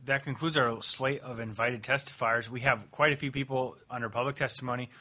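Male committee chair, crisp bright voice, polite and procedural. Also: mid-range voice, steady tone, fairly quick. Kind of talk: chairing a hearing.

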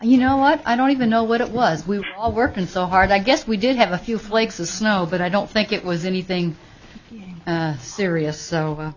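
A woman chairing a legislative committee hearing, informal and relaxed, calling on speakers. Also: mid-range voice, moderate pace. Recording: lo-fi teleconference audio with faint hiss.

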